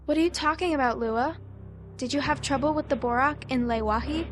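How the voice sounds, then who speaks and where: A woman's voice: high-pitched, a little fast, close to an everyday voice. A woman playing a caring, questioning warrior heroine in an amateur audiobook drama, with an earnest manner. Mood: concerned. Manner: earnest, concerned. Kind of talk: amateur full-cast audiobook drama acting. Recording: loud music bed under the voice.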